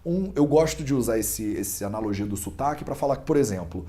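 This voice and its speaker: Young Carioca man with a warm voice. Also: mid-range voice, expressive, brisk, faint music behind. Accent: Carioca Brazilian Portuguese. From Brazil. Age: young adult. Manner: patient explaining with everyday analogies, frequent restarts. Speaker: Ayurveda student interviewed as a guest. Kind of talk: explanatory interview answer.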